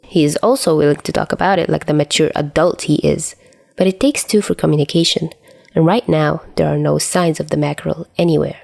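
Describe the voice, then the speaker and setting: Low-pitched woman narrating a story. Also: steady tone, brisk, creaky, darkish tone. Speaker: podfic narrator. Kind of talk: expressive scripted story narration.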